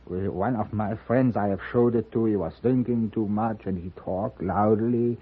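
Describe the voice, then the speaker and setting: An actor playing a frail, fearful old German gunsmith turned storekeeper in a radio western, speaking with a German accent in halting, old-world phrasing. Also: male voice, lowish voice, steady tone, slightly rough. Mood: sorrowful. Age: older. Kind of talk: 1950s radio western acting. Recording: thin narrow-band old radio recording.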